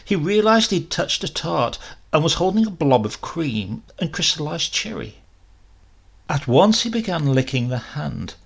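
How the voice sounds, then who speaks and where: Gravelly, husky man reading a story. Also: fairly high pitch, fairly bright tone. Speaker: audiobook narrator reading a short story. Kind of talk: dramatic scripted literary narration.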